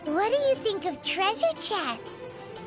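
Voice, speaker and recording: A woman voicing a tiny, childish, cheeky fairy companion in a video game, with a squeaky voice. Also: very animated, slow, music in the background, full character voice. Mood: chatty. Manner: refers to self in third person, whiny, exclaiming. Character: tiny floating fairy girl companion, childish, cheeky, greedy, food-loving. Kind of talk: video game character acting.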